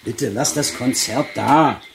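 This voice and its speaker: An actor playing a fussy, evasive, cultured prisoner in a radio drama, particular and pedantic. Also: male voice, mid-range voice, slow pace, vocal fry, soft background music. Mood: pedantic, insistent.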